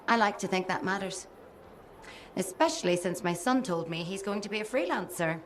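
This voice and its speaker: An actress playing a caring, practical mechanic and mother, conversational and gently wry, in video game dialogue. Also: mid-range voice, lively intonation, normal speed, a little hiss or hum, lightly acted. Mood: fond, wry.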